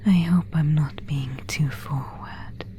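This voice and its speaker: A woman's voice: sits low, slow pace, dark tone, a little hiss or hum. A woman playing an elegant, seductive vampire of an ancient family in a whispered ASMR roleplay, speaking intimately in formal, archaic phrases. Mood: seductive, tender. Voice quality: breathy, unvoiced whisper.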